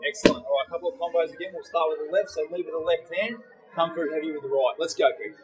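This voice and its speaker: A man's voice: fairly high pitch, very fast, rough recording. Australian man, a personal trainer interviewing. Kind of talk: testimonial interview.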